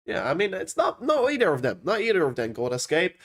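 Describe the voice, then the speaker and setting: A man's voice: fairly high pitch, wildly swooping pitch, a little fast, fairly bright tone. Rambling young Dutch-accented man. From Netherlands. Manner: rambling, many fillers and restarts. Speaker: crypto livestream host. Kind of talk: casual livestream chat reading viewer questions.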